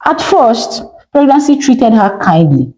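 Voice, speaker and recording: A woman's voice: on the high side, sing-song, measured pace, warm tone. A woman with a Nigerian accent narrating a scripted story in a theatrical way, with emphatic short phrases and rhetorical questions.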